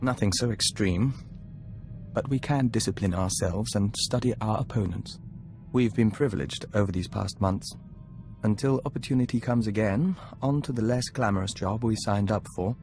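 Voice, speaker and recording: An actor playing a serene, earnest diplomat of an insect hive mind in a video game, speaking formally and solemnly. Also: male voice, lowish voice, moderate pace, over music, lightly acted. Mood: hopeful, earnest.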